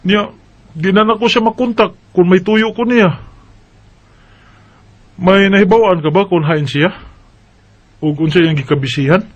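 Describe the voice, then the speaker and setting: Husky, high-voiced heartbroken young man played by an actor. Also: unhurried, pauses often, muffled recording. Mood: anxious, puzzled. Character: heartbroken overseas worker, a young man searching for his girlfriend. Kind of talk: radio drama acting and narration.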